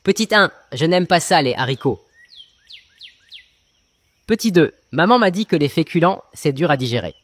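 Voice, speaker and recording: A man plays a young farm lad in a children's cartoon tale. The voice is on the high side and recorded dry. He is cheeky and eager, always ready to argue or play on words. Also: lively intonation, normal speed, pauses often, fairly bright tone, no echo. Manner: cheeky, eager, pun-loving. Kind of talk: children's cartoon storybook acting.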